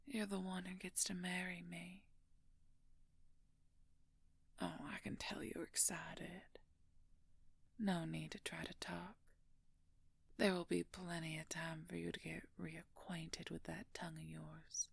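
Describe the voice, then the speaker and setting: Woman acting a slow, creaky, menacing werewolf. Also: little pitch movement, dry recording, full character voice. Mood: smug, taunting. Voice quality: breathy, creaky low murmur, often whispered. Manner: slow, smug, condescending, drawn-out menace. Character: menacing, possessive female werewolf, former court mage. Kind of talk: audio roleplay monologue addressed to the listener.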